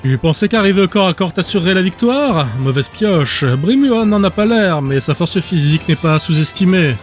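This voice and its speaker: A man voicing a smug, cruel male trainer who taunts his rival in a calm, condescending way in an audiobook. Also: high-pitched, moderate pace, soft background music, pitched above the performer's own voice.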